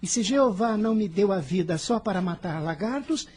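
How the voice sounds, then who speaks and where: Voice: medium pitch, animated, a little mellow, slightly rough. An actor voicing an earnest, kind fifteen-year-old boy in a radio drama, speaking in a solemn, formal way. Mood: reassuring, determined. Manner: solemn, measured, formal diction.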